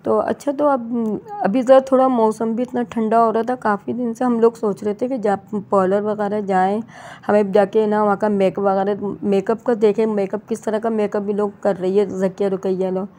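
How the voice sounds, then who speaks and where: Woman, Pakistani Urdu accent, casual vlog voice-over, mid pitch, warm and rambling. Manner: chatty, rambling, with many restarts. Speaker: vlogger.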